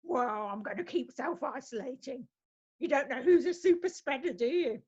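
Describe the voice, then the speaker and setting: A woman's voice: sits high, expressive, normal speed, a little mellow, breathy edge, dull audio. A woman playing a cheeky, gossipy older neighbour in an amateur comedy sketch. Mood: gloomy chatter.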